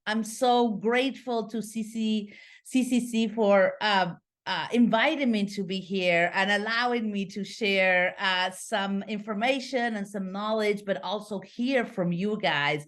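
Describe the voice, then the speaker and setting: A woman in her fifties from Argentina, presenting a live online webinar over a video call and greeting the audience warmly. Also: medium pitch, unhurried. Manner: warm, grateful greeting.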